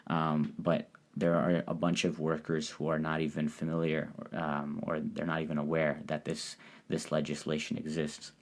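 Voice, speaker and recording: A man hosting a solo podcast, talking through a topic in a measured, explanatory way. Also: extremely low pitch. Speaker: podcast host. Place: lives in Japan.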